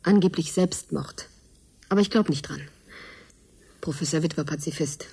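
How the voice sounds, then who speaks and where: A woman's voice: sits low, moderate pace, gravelly, warm tone, husky, heavily acted. An actress playing a guarded, serious young woman in a German radio drama. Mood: sober, worried.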